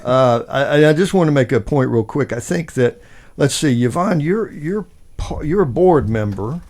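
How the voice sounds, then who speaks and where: A man's voice: a little high, lively intonation, normal speed, a little mellow. A man hosting a local talk show, easygoing and affirming, often repeating his words. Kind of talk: casual interview hosting.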